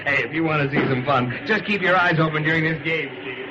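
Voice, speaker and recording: An actor playing a big, jovial baseball star with an American accent and a hearty, folksy manner, in old radio drama style. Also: male voice, fairly high pitch, brisk, close to an everyday voice. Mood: jovial, eager. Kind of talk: 1930s-40s radio drama acting. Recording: muffled, noisy old radio recording.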